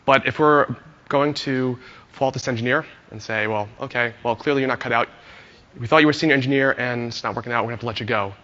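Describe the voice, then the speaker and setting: A hoarse man giving a friendly conference talk to an audience, telling a story with many "uh" fillers. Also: mid-range voice, fast talker, bright, forceful tone, big-room sound, dull audio. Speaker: conference speaker, engineer.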